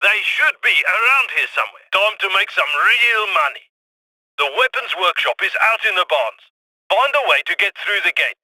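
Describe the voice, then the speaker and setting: An actor playing a businesslike heist crew handler, giving brisk orders over the radio in a video game. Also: male voice, sits high, big pitch swings, pushed and bright, dry recording, heavily acted, low-quality recording. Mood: calm orders.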